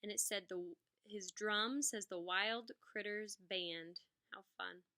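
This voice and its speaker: Bright-voiced woman reading to children. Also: mid-range voice, takes it slowly. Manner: expressive, explanatory, addressing young children. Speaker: music teacher reading aloud to pupils. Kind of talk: children's storybook read-aloud with asides.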